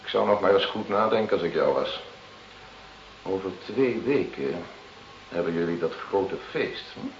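An actor in a radio play voicing a mocking, mock-friendly gangster who smuggles drugs and blackmails. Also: male voice, deep voice, expressive, moderate pace, long pauses. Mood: taunting, menacing. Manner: sly, mock-friendly, taunting. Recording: muffled, noisy, roomy old radio recording.

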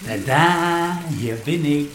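Cheeky, coaxing lover in an echoey room. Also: male voice, on the high side, takes it slowly, heavy background noise. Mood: teasing, amorous. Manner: coaxing, teasing. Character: cheeky, amorous man, a pushy secret lover. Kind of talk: radio drama acting.

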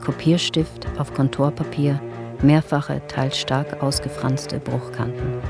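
An actress playing a reader of case evidence in a radio drama, itemising facts in a detached, impersonal way. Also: female voice, extremely low pitch, fairly even intonation, slow pace, creaky, music in the background, heavily acted. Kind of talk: documentary-like scripted narration in radio drama.

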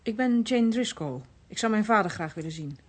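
An actress playing an anxious, worried daughter in a radio play, speaking Netherlands Dutch in an urgent manner. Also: female voice, mid-range voice, lively intonation, normal speed, husky. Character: anxious, distressed daughter seeking her missing father.

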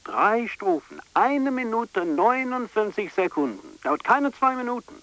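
Ein Schauspieler spielt in einem deutschen Hörspiel einen forschen, ungeduldigen Kollegen, der knapp und fragend in Singsang spricht. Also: male voice, high voice, very animated, unhurried, fairly bright tone, no room sound, full character voice, poor audio. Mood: brisk, needling. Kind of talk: German radio drama acting.

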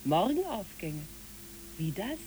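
A woman's voice: medium pitch, very animated, takes it slowly, warm tone, slight background noise, poor audio. A woman playing a genteel, rapturous lady in a literary radio play, refined and effusive.

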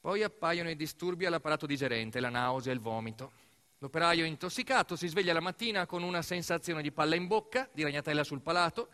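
A man performs a dramatic narrative monologue as an actor, telling the story deliberately in short, weighted phrases. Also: on the high side, pushed and bright. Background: music.

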